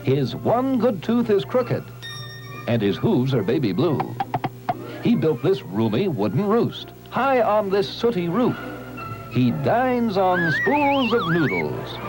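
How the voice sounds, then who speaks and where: A man's voice: high-pitched, wildly swooping pitch, slow, heavily acted, low-quality recording. A man playing a playful storybook narrator, reciting rhymes for a children's television cartoon. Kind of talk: sung-song rhyming children's narration. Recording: music under 1970s television soundtrack.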